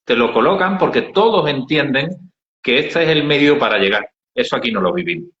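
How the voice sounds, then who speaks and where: A middle-aged man with an Andalusian Spanish accent, telling travel anecdotes in an unhurried interview style, with frequent hesitations. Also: medium pitch, animated. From Andalusia, Spain. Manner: unhurried storytelling with many hesitations. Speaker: interviewee, founder of an aid project. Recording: dull, roomy recording.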